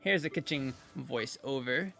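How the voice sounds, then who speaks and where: A man's voice: mid-range voice, unhurried, gravelly, bright, forceful tone, faint music behind. A man hosting a video in a casual, rambling, informal reaction commentary style. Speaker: video host commenting on game voice lines.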